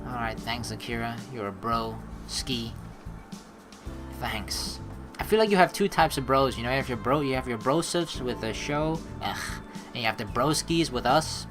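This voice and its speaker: A man's voice: medium pitch, normal speed. A man reading a game's story aloud and commenting on it in a casual, slangy way, with game music behind him. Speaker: let's play host.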